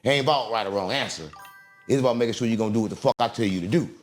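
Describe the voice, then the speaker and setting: A man's voice: medium pitch, very fast, soft background music. A man giving a brash, rambling interview, swaggering and cursing as he talks. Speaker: interview guest. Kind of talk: heated interview talk.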